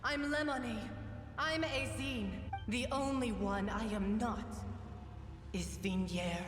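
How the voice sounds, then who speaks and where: A woman voicing a vengeful spirit of many murdered women in a video game, speaking with solemn, deliberate, cold condemnation. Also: a little high, over music. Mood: solemn, eerie. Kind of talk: dramatic video game voice acting. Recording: echoey, long hall-like reverb, distant.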